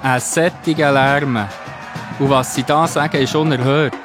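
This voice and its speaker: A man's voice: mid-range voice, fairly even intonation, a little slow, natural voice. A man playing a stern, indignant Pharisee who scolds in a children's Bible audio drama. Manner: reproachful, scolding. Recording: music under the voice.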